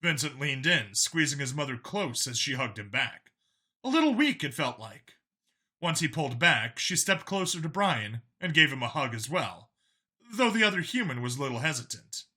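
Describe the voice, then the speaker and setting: A man narrating a dramatic science fiction story from a script, expressive and theatrical. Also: medium pitch, normal speed, breathy edge. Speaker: audiobook narrator.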